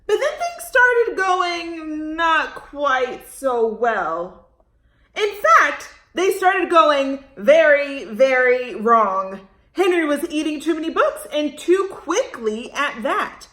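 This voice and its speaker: A woman reading aloud to young children as a storytime host, expressive, with dramatic pauses and questions to her listeners. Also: high-pitched, reverberant.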